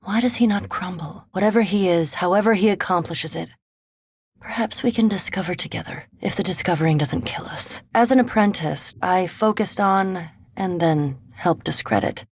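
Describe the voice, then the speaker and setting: A woman voicing a centuries-old vampire scholar in a video game, speaking in an erudite, measured, formal way with composed curiosity and dry superiority. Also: lowish voice, breathy edge, no echo. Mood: quiet wonder. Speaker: animancy researcher and ship companion in a fantasy video game. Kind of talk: video game character dialogue.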